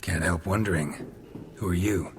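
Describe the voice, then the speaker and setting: A man's voice: low-pitched, moderate pace, slight background noise. A man playing a stoic, wry monster hunter in a video game, terse and dry in delivery. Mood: dry, unhurried. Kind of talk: video game cutscene acting.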